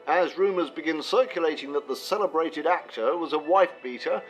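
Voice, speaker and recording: An actor playing an upbeat, opinionated media commentator in a radio drama, with music under the voice. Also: male voice, on the high side, brisk, lightly acted. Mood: upbeat, declarative.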